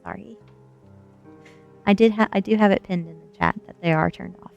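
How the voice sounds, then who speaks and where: A soft-spoken woman streamer reading a novel aloud in a cozy ASMR style, acting out the dialogue. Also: medium pitch, moderate pace, vocal fry, muffled recording, soft background music.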